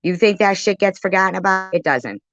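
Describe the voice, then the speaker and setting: A woman's voice: medium pitch, lively intonation, a little fast, fairly bright tone, no echo, highs cut off. A woman doing casual reaction commentary in an accusatory, sarcastic way. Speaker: commentary video host. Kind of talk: casual reaction commentary on a live stream clip.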